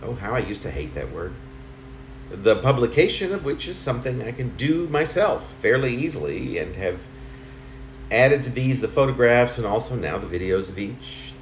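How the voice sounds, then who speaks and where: A middle-aged or older man reading his own letter-like poem aloud in a halting, conversational way, correcting himself as he goes. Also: mid-range voice, very animated. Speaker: poet reading own work. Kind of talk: spoken poem reading in the form of a personal letter. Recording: roomy home recording, faint noise.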